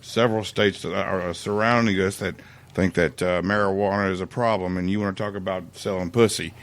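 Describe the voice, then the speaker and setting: Halting, filler-heavy man. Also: deep voice, steady tone. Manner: hesitant, many fillers. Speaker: podcast co-host. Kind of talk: casual chat.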